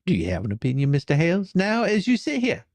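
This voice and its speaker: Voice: mid-range voice, huge pitch swings, fast, darkish tone, no room sound. A performer playing a pushy, exasperated attorney in a dramatic reading of a deposition, badgering, sarcastic and coarse. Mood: probing. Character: pushy, folksy, exasperated attorney questioning a witness.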